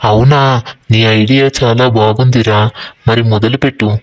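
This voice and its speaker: A man's voice: mid-range voice, steady tone, normal speed, dry recording, close to an everyday voice, poor audio. A man voicing a friendly, encouraging friend in an acted moral story. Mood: curious, encouraging.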